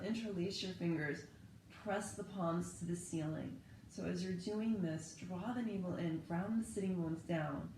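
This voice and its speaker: Low-voiced, slightly hoarse woman guiding yoga. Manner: calm, gentle cueing with breath. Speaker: yoga and meditation teacher. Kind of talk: guided yoga instruction. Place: living room at home, indoors. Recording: echoey home room, faint background noise.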